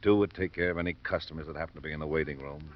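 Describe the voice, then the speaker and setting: An actor playing a harried police lieutenant, weary, sardonic and grumbling, in 1950s radio drama style. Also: male voice, extremely low pitch, steady tone, racing. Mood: weary, explaining. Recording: muffled, noisy old radio recording.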